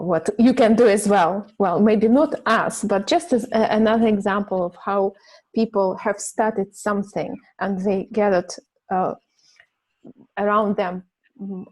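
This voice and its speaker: A Russian-native woman hosting an English conversation club over a video call, talking casually and halting often with fillers and restarts. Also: mid-range voice, a little mellow, poor audio. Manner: hesitant, many fillers and restarts. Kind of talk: casual teaching chat.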